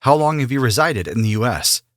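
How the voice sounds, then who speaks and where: A man's voice: medium pitch, brisk, no echo, clean studio sound, natural voice. A man playing a businesslike immigration officer. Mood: formal. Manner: formal, steady questioning. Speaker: immigration officer in a practice interview. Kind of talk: scripted role-play interview.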